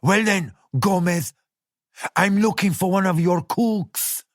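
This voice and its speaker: Narrator doing a terse menacing killer, slow, lively, dry close voice. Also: male voice, high voice, no room sound, voice pushed up. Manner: terse, quietly threatening. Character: cold menacing male gunman.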